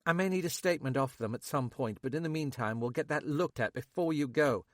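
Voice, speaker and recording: Man voicing a calm female detective. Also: fairly high pitch, fairly quick, no echo, studio quality, voice pushed up, natural voice.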